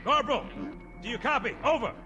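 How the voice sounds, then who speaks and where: A gruff, high-pitched marine sergeant. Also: male voice, animated, fairly quick, music in the background. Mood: barking orders. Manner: brash, sardonic, commanding. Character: gruff, bossy veteran marine sergeant barking orders. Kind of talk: video game cutscene acting.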